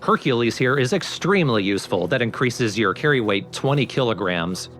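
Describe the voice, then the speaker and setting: A man's voice: medium pitch, expressive, brisk, a bit bright, faint music behind. A man hosting a let's play with casual, explanatory game commentary.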